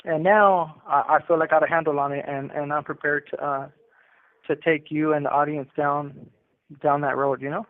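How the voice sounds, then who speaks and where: A man's voice: on the high side, fairly even intonation, moderate pace, dry recording. A man on a prison phone call, reflecting on his life in an earnest, conversational storytelling way. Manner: earnest, conversational, often tagging with "you know" and "right". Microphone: telephone handset. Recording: tinny narrow phone line.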